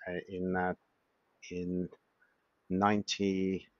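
Hesitant Hungarian-accented man, low voice. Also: monotone, takes it slowly, no echo, highs cut off. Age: 50s. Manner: hesitant, many restarts and fillers. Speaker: emergency physician guest. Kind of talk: casual interview chat.

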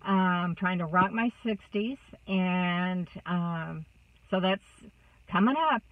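A woman's voice: on the low side, takes it slowly, dry recording, far from the mic. Texan woman, about 60, rambling car vlog chat, thin phone-like dry sound. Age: 60s. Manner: chatty, warm, rambling with many fillers. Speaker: vlogger. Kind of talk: casual chat to camera. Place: inside a parked car. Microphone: phone camera close up.

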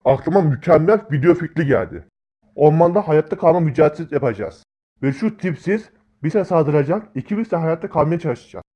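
Man playing a brash, higher-pitched cartoon big-brother schemer. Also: animated, a little fast, dull audio. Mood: cocky, scheming. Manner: brash, scolding slang. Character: bossy, excitable big-brother schemer with a video channel. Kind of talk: comic cartoon dialogue.

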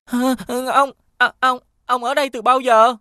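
A woman's voice: sits high, flat delivery, a little slow, pushed and bright, clear, resonant tone, no room sound, clean studio sound. A woman voicing a feisty, defiant young boy in a fairy-tale cartoon, indignant in manner. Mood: startled.

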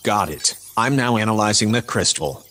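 Dutiful sci-fi AI assistant played by a man, measured and courteous, lower male voice. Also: animated, pushed and bright. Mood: polite, obedient. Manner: formal, measured, polite. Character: dutiful, literal-minded spaceship artificial intelligence. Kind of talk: sci-fi audio drama acting.

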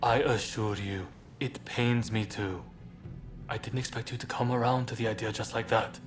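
An actor playing a composed, diplomatic young samurai leader in a video game cutscene, speaking in a measured, polite, thoughtful way. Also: male voice, mid-range voice, fairly even intonation, faint music behind, close to an everyday voice. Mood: calm, persuasive.

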